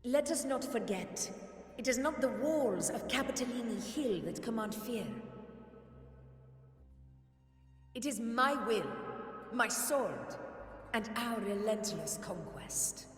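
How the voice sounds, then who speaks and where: A woman's voice: on the high side, lively intonation, a little slow, echoey room, in a large hall. A woman playing a proud, fearless young female ruler in an anime game, imperious and composed. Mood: imperious, defiant. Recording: game audio over background music.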